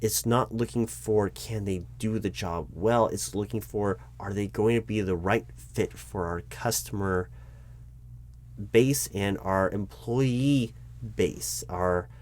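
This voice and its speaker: A man acting as a corporate recruiting manager with a fairly low voice. He is frank and exasperated, speaking in a conversational roleplay style. He hesitates, backs up to correct his wording, and keeps explaining his point. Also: slow, a little mellow. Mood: earnest, exasperated. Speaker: roleplay audio performer. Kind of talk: one-sided conversational audio roleplay.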